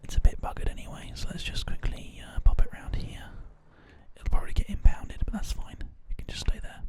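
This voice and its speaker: Whispering man, ASMR gaming commentary. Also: brisk. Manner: rambling, gently explanatory. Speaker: host of an ASMR gaming show.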